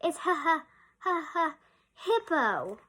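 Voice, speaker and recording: A woman's voice: very high-pitched, sing-song, takes it slowly, a bit bright, voice pushed up, heavily acted. Woman voicing a big teasing storybook rhinoceros. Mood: helpless giggling. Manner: unkind, mocking, giggly. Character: very large gruff rhinoceros, teasing, breaking into giggles. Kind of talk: children's storybook read-aloud.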